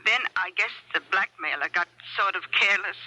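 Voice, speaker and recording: An actress playing a distraught small-town wife in a 1970s radio drama, fretful, hesitant and emotional. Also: female voice, mid-range voice, a little fast, pushed and bright. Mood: nervous, confiding. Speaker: actor. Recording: dull old radio broadcast recording.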